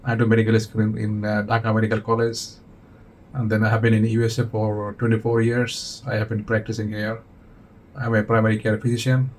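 A man in his forties from Bangladesh, a doctor, answering interview questions plainly and calmly in an office, with slightly English. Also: fairly low pitch, slight background noise. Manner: plain, measured, slightly non-native English. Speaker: physician, interview guest.

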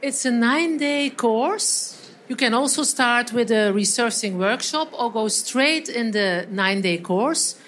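A woman from Belgium explains a training course to a live audience in a high voice. Her phrasing is slightly non-native, and faint noise runs under the recording. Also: animated, a little slow, a bit bright. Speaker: seminar trainer. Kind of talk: live workshop talk. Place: event hall.

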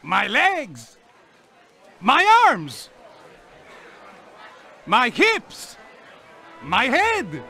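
A man voicing a jolly dancing king in a children's game, booming and theatrical, over game music. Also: huge pitch swings, extremely slow, gravelly, pauses often, pushed and bright, breathy edge, some chatter behind, heavily acted. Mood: playful.

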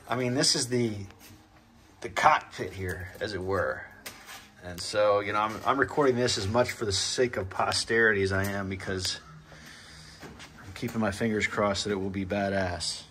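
A man with a fairly low voice presents a hands-on car vlog in loose, slangy language. He talks casually to the viewer, with faint noise around him. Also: a little slow, pauses often, pushed and bright. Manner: informal, slangy. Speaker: car channel host working on his own Porsche builds. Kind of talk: casual vlog commentary, talking to camera.

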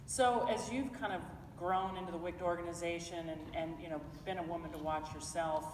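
Low-voiced woman moderator in a reverberant hall. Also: fairly even intonation, brisk, darkish tone, slight background noise. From Montana. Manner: conversational, with many fillers like "kind of" and "you know". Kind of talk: onstage fireside chat. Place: large indoor event hall with an audience. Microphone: recorded by a far camera across the room. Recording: roomy hall echo recorded from far off.

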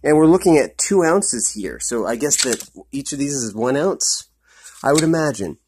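A man's voice: mid-range voice, expressive, normal speed, darkish tone, a little hiss or hum. Um-filled man reviewing a snack. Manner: hesitant, many ums, repeats "really, really good". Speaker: product reviewer. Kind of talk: casual food review vlog.

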